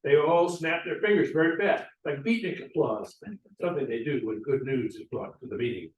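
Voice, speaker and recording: Casting: male stage-directions reader, slightly high voice, echoey and off-mic with faint noise. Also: normal speed, far from the mic, muffled recording. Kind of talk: scripted narration at a play reading.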